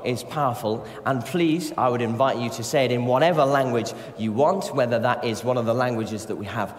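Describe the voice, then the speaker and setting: A man's voice: medium pitch, brisk. A man giving an interactive church talk, addressing a congregation in a playful, encouraging manner. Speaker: church speaker leading a talk. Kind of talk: interactive sermon with scripture reading. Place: large church, speaking to a congregation. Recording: echoey church hall, reverberant.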